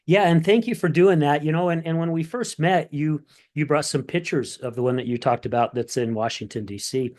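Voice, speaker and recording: A man's voice: a little high, fairly quick, a little mellow. A man hosting a recovery podcast, reading a sponsor message and introducing his guest in a friendly, earnest, conversational way. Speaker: podcast host.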